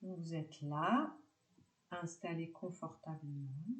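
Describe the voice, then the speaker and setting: Slow, low-voiced woman guiding relaxation. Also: roomy sound. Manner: calm instructions in short, separated phrases. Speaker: yoga nidra teacher. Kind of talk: guided relaxation meditation.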